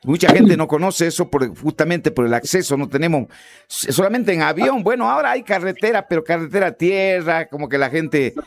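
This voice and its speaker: A man's voice: on the high side, expressive, brisk, creaky. A man from Bolivia with a Bolivian accent, hosting an interview, friendly and enthusiastic. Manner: friendly, enthusiastic, calls guest brother. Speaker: interviewer host. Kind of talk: interview conversation.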